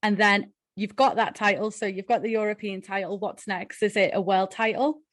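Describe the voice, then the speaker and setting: A woman's voice: mid-range voice, fairly even intonation, moderate pace, no room sound. Chatty woman interviewer, dry close sound. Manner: chatty, tag questions. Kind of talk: casual interview.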